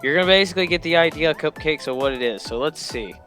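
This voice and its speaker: A man's voice: a little high, lively intonation, fast, fairly bright tone, faint music behind. A man giving casual, chatty commentary as host of a reaction video, emphatic and repeating himself.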